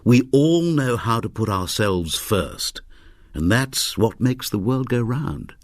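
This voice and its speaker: Here is an old man in his seventies from a storybook, performed by a male narrator. He moralizes with calm, sardonic fatalism and takes his time. His pitch moves freely, and the recording is very dry. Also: no echo. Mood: peaceable. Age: 70s. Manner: peaceable, sardonic, unhurried.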